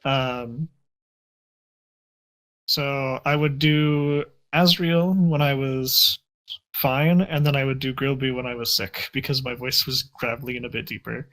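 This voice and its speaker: A man's voice: medium pitch, steady tone, a little slow, fairly bright tone. A young man answering interview questions in a casual, reflective and self-deprecating way. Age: young adult. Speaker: interview guest.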